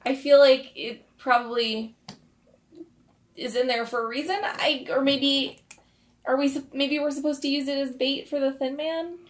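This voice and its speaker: A woman with a fairly high voice takes part in a tabletop roleplaying game. She casually describes her character's actions and slips into improvised in-character talk. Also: fairly even intonation, measured pace.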